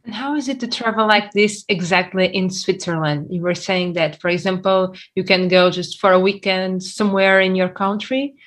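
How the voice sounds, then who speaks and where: Interviewer: woman, medium pitch, conversational questioning in speech. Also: fairly even intonation, moderate pace, hardly any pauses, a bit bright.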